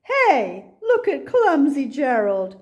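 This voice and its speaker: An older woman voicing excitable jungle animals in a children's storybook, exclaiming emphatically. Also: high-pitched, slow, exaggerated voice. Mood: mocking.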